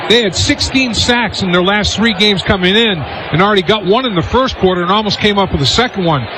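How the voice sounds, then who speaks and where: An American man giving unscripted colour analysis of a live football game, halting and repeating words as he explains. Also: high voice. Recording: noisy live stadium radio broadcast.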